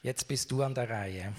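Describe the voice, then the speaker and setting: A man, introducing and interviewing a guest at a church service in a friendly, measured way. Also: mid-range voice. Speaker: church moderator. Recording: reverberant hall.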